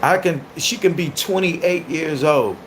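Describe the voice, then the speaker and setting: Loud, animated man in his thirties. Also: a little high, unhurried, slight background noise. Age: 30s. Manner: loud, animated and crude, laughing often. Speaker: livestream host. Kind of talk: casual livestream chat, reacting to viewer comments.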